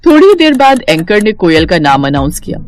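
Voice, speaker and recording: A woman's voice: mid-range voice, very animated, brisk, darkish tone, faint music behind. A woman storyteller reading scripted narration for a moral tale.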